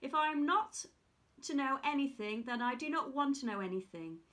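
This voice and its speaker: A woman's voice: high voice, expressive, moderate pace, a bit bright. A woman voicing the fisherman's curious wife in a fairy tale read aloud.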